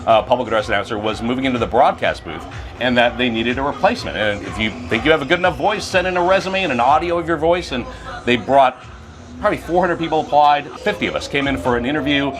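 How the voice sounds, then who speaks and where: A middle-aged man with a mid-pitched voice answers interview questions casually. He talks as a stadium announcer in a conversational way, with music clearly playing behind him. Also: lively intonation, fast. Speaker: stadium public address announcer being interviewed.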